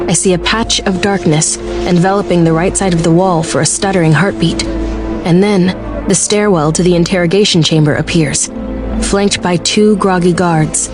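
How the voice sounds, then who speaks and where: An actress playing a determined, anxious young military cadet, narrating a fantasy audiobook drama in the first person. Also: female voice, on the low side, natural voice. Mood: tense determination. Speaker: lead narrator. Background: music.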